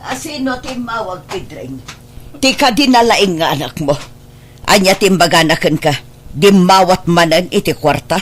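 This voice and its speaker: A woman playing a resentful, suspicious wife in a radio drama, with a sharp, sniping manner. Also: on the low side, expressive, moderate pace, slight background noise, close to an everyday voice. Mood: scornful, irritated. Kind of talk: Ilocano radio drama acting.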